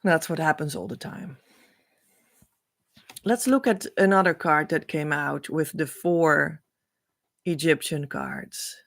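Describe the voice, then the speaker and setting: Slow-speaking woman teacher. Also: low-pitched, sing-song, creaky, fairly bright tone. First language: Dutch. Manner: earnest, reassuring, unhurried. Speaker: spiritual teacher. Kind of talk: spiritual teaching talk.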